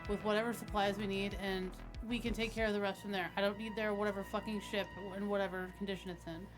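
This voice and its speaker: Female tabletop player, quick delivery, medium pitch, casual game talk, faint music behind. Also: little pitch movement, poor audio.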